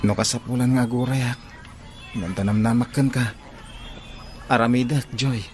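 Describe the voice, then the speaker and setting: A man's voice: medium pitch, fairly even intonation, moderate pace, darkish tone, faint music behind, close to an everyday voice. An actor with an Ilocano Filipino accent playing an earnest, devoted young male suitor in a radio drama. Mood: tender, pleading. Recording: faint noise and outdoor ambience.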